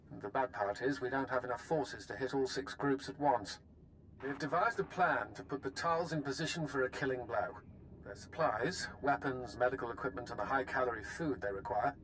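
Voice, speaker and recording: An actor playing a resolute, ruthless military strategist in a video game, speaking formally and sternly. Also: male voice, medium pitch, fairly bright tone, slight background noise, lightly acted, rough recording. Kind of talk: scripted game dialogue.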